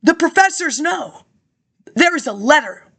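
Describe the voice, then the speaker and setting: A middle-aged woman with a high, bright-toned voice rambles on in a loose personal monologue. She sounds indignant, breaks into laughter often and jumps from one grievance to the next. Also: lively intonation, unhurried.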